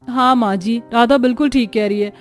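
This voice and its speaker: A woman's voice: on the high side, a little fast, faint music behind, close to an everyday voice. A woman voicing a worn-out, pleading young sister-in-law in a cartoon family story. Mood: pleading, fed up. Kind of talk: Hindi cartoon story acting.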